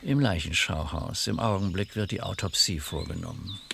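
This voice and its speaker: Police commissioner: low, gravelly, polite and methodical, hesitant questions, vintage radio drama. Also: male voice, normal speed, sharp tone, slight background noise, full character voice. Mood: matter-of-fact. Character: courteous, probing male French police commissioner. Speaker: actor in a radio crime drama. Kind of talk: 1970s German radio drama acting.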